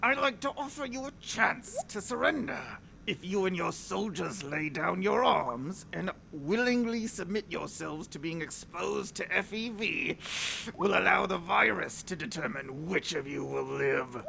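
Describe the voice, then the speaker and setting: Male actor as a taunting, gravelly, slow-talking mutant prisoner; swooping voice, audio drama. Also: high-pitched, lively intonation, sharp tone, a little hiss or hum, exaggerated voice, poor audio. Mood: gloating. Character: cunning male Nightkin super mutant captive, mad and mocking. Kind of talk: fan audio drama acting.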